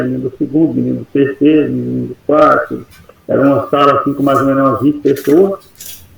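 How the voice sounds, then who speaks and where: Gravelly man from telling his story. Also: on the high side, heavy reverb, poor audio. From Goiás, Brazil. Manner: unhurried, rambling, folksy storytelling with soft laughs. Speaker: mentee teacher. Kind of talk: personal life story in an online meeting.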